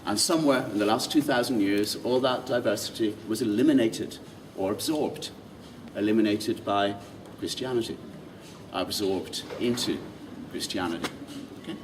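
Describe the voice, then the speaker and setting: A man guiding a walking tour outdoors, lively and quizzing the group with questions. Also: lowish voice, normal speed. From Ireland. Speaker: tour guide. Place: outdoors in old city streets. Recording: distant mic, street noise.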